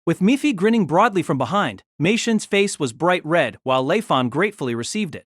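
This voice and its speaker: Animated narrator, close dry sound. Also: female voice, lowish voice, fairly quick, no room sound. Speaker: audio drama narrator and reader. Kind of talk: scripted narration of a light novel.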